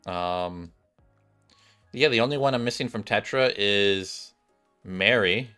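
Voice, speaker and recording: A man's voice: fairly low pitch, takes it slowly, pushed and bright, clear, resonant tone. A man hosting a gaming video, giving casual, chatty commentary addressed straight to his viewers. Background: game music.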